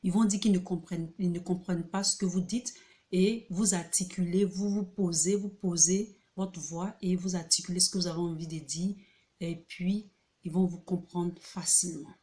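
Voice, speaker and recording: A woman hosting a casual video tutorial, talking hesitantly with many filler pauses and restarts, often checking in with the listener. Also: on the low side, steady tone, mellow. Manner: repeats key points, checks in with "ok?". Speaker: video host presenting a pronunciation book. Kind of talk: casual spoken tutorial to camera.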